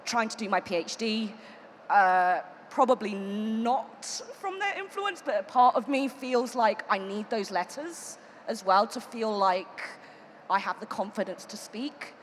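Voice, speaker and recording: A woman's voice: medium pitch, unhurried. A woman on a conference panel, talking about her career in a reflective, loose way with many fillers. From United Kingdom. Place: conference hall. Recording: reverberant hall, faint noise.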